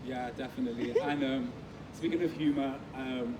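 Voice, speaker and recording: A man's voice: mid-range voice, moderate pace. A man from Liverpool talking earnestly in a casual interview on an outdoor festival stage, with many ums and restarts. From Liverpool, England. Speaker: guest creative. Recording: distant mic with street noise.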